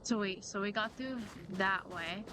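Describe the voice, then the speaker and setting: A woman's voice: medium pitch, unhurried, noisy background. A woman streaming a game, giving casual commentary and reading dialogue aloud. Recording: loud game music behind the voice.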